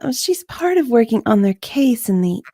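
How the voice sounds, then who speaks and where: A woman's voice: on the high side, big pitch swings, normal speed, dark tone, no echo, clean studio sound. A woman playing an unsure, hesitant witness in an amateur dramatic reading of a deposition, drawing out her answers. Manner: hesitant, drawn out, uncertain.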